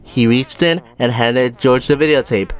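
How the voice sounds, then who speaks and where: A woman's voice: rumbling low, normal speed. A woman reading scripted storybook narration as the narrator. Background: faint music.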